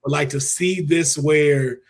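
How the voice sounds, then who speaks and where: A man's voice: on the high side, fairly even intonation, slow pace, a crowd in the background. A man hosting a podcast, chatting casually with informal, slangy phrasing. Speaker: podcast host. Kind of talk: casual panel podcast chat.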